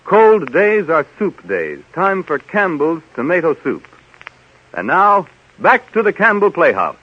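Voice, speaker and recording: A man's voice: a little high, huge pitch swings, a little slow, noisy background. A man working as a radio announcer, reading commercial copy in a polished 1930s style. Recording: dull 1930s radio broadcast recording.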